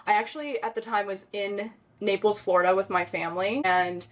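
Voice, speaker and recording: A woman's voice: mid-range voice, fairly even intonation, a little fast. A young woman guest on a podcast, telling a personal story in a rambling, chatty way, full of "like" and "um". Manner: chatty, rambling, lots of "like" and "um" fillers. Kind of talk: casual storytelling in an interview.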